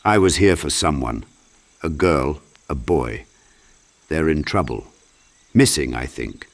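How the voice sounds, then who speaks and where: A man voicing a confused, forgetful, half-mad knight in an audiobook, halting and earnest. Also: extremely low pitch, expressive, creaky, voice pushed down, exaggerated voice. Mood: lost, pleading.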